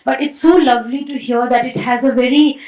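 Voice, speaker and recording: A woman moderating a festival panel, asking thoughtful questions in an animated way with fillers. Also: sits high, fairly quick, echoey room, low-quality recording. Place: festival stage hall.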